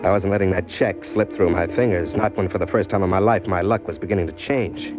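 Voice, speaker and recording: An actor playing a sly, cocky drifter who talks in streetwise slang, in the style of an old radio mystery. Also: male voice, low-pitched, fairly even intonation, brisk, natural voice. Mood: wry, scheming. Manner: streetwise slangy tough-guy talk. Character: sly, cocky drifter and jailbird narrating his crime tale. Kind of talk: 1940s-50s radio mystery acting. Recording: dull vintage radio recording with loud music.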